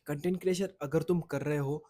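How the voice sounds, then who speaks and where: A young man with a slightly husky, somewhat high voice, speaking in a loose, rambling vlog style. His first language is Marathi, and he often loses his thread and restarts sentences while talking to camera. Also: steady tone, brisk, dark tone. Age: young adult. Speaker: content creator. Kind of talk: casual vlog talk to camera. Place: outdoors in the sun, at a boys' hostel.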